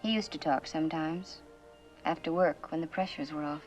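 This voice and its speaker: An actress playing a guarded, world-weary woman, terse and measured, in a vintage suspense drama. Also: low voice, a little mellow, soft background music, natural voice, low-quality recording. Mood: wistful. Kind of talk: mid-20th-century suspense drama acting.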